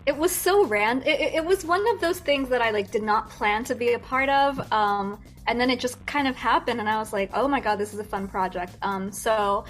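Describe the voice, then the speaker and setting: A young American woman with a fairly high voice talks through her story in a chatty, giggly way, full of fillers and restarts. Faint music sits underneath her voice. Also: moderate pace, few pauses, fairly bright tone. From United States. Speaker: guest singer. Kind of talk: casual interview chat.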